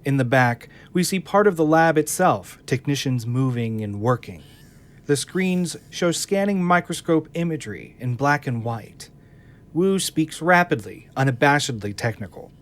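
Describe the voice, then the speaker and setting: A man's voice: medium pitch, lively intonation, normal speed. A man narrating an audio drama, reading scene descriptions from a screenplay in a scripted style.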